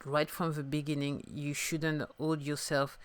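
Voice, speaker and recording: A French-accented woman in her fifties muses aloud, pausing and restarting as she hunts for the right words. Her voice is smooth and resonant, recorded close and dry with no room sound. She speaks English as a second language in an unhurried, thoughtful way. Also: low-pitched, flat delivery, no echo. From France. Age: 50s. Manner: halting, searching for words, soft and musing. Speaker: singer-songwriter podcaster. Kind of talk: reflective solo podcast talk.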